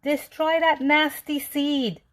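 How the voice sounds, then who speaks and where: A woman's voice: sits high, steady tone, takes it slowly, fairly bright tone, voice pushed up. A woman voicing a wicked, bossy chimpanzee mayor from a storybook, bellowing and wailing furious commands. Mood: furious, commanding.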